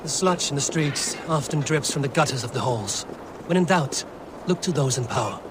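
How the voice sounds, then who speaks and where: An actor playing a grave, steady Viking warrior on the hunt for a secret order in a video game cutscene. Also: male voice, a little high, normal speed, noisy background, natural voice. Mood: resolute, calm.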